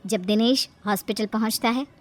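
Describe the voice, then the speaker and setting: A woman's voice: on the high side, moderate pace. A woman narrator reading a scripted cartoon story.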